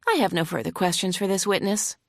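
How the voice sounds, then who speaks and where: A woman's voice: medium pitch, fairly quick, gravelly, dry recording, studio quality, lightly acted. A woman voicing a huffy, sarcastic female lawyer cross-examining a witness in an audiobook. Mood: huffy, badgering.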